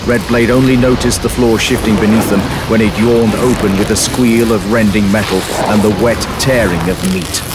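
A man's voice: fairly low pitch, fairly even intonation, moderate pace, hardly any pauses. A man giving dramatic scripted narration as the storyteller of an audio drama. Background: music.

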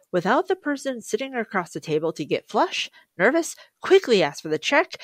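A middle-aged woman speaks as a podcast host. Her pitch is mid-range and moves around in a lively, animated way as she introduces and interviews. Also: no room sound.

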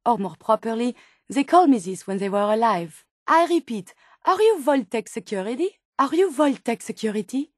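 An earnest, sensitive female robot scientist from a video game, with a French accent and a high voice. She speaks in formal, precise phrases, polite and curious. The recording is dry and close, with no room sound. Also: sing-song, moderate pace, no room sound. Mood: formal, hopeful. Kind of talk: video game character dialogue.

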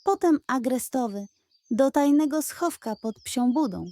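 A woman narrates a children's fairy tale. Her voice is mellow and fairly high, with a slow storyteller's delivery. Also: animated, no room sound.

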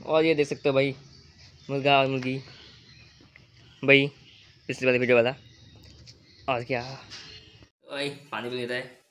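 A man's voice: medium pitch, level tone, normal speed, pauses often, fairly bright tone, a little hiss or hum. A teenage boy in casual vlog chat, chatty and informal, filming himself on his phone at arm's length outdoors. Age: teens. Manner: chatty, keeps calling viewers brother. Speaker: vlogger. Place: outdoors on a field, then at home. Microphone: phone selfie camera at arm's length.

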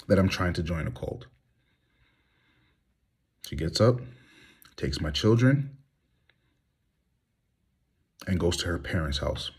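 Man, 30s, very low husky voice, heated and blunt, phone selfie monologue from a small room. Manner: heated, blunt, rhetorical questions. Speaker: vlogger. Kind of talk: candid monologue to phone camera. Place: small room indoors, reclining. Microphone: phone at arm's length.

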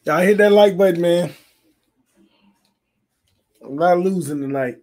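A man's voice: high voice, measured pace. Man streaming with a strained, fading voice. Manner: talks quietly to spare his voice, reads chat aloud. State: losing his voice. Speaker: sports channel host. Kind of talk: casual livestream chat while gaming. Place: small home room full of fan decor. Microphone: desk mic on stand.

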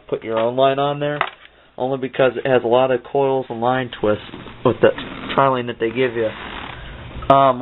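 A man's voice: medium pitch, slight background noise. A young man from Ohio, vlogging an ice fishing trip and giving gear tips in an upbeat, rambling way, recorded on a handheld camera. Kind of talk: casual outdoor vlog and gear tips. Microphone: handheld camera mic. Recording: lo-fi phone-like camera audio.

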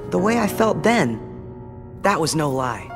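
An earnest teenage boy hero in a video game. His voice is youthful and expressive, slow and determined, with music underneath. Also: a little high, creaky, full character voice. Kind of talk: video game cutscene acting.

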